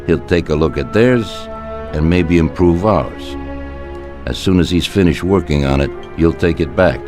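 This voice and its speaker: An actor playing an older Italian-American mafia boss in a video game, speaking in a deliberate, colloquial, authoritative way. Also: male voice, sits low, music in the background, lightly acted. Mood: calm, commanding. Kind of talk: video game cutscene dialogue.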